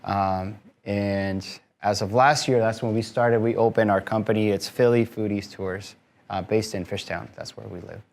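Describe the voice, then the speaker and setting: A man's voice: fairly low pitch, fairly even intonation, normal speed, creaky, slight background noise. A man in his thirties from Mexico, speaking as a guest to a live audience in a room, friendly and explanatory with frequent fillers. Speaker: guest speaker, tour company owner. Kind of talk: conversational talk to a live audience.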